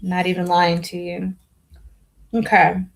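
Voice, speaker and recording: A woman's voice: fairly low pitch, monotone, slow pace. Chatty young woman rambling on a live stream. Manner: rambling, filler-heavy, chatty with viewers. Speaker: hair vlogger hosting a live Q&A. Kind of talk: casual live-stream chat.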